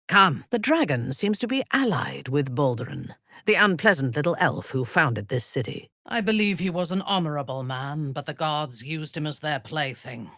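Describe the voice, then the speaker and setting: A woman playing a cruel, haughty dark elf warlord in a fantasy video game, speaking formally and deliberately. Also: deep voice, no echo, clean studio sound, heavily acted. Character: cruel, imperious female dark elf warlord, cold and devout. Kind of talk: dramatic fantasy game voice acting.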